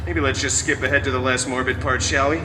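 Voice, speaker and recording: A man's voice: medium pitch, steady tone, quick pace, noisy background, natural voice. A man playing a snarky masked antihero who hosts a live storytime show, joking sarcastically at the audience. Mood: sarcastic. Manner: sarcastic, theatrical, fourth-wall jokes. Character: wisecracking masked male antihero, snarky and hammy. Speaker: live stage show performer. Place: outdoor theme park stage. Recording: distant mic, roomy, music behind.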